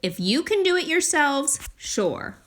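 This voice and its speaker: A woman voicing a male landlord in a children's storybook in a brisk, matter-of-fact manner. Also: high voice, very animated, pitched above the performer's own voice. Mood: grudging. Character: dismissive male landlord who grudgingly softens. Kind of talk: children's storybook character reading.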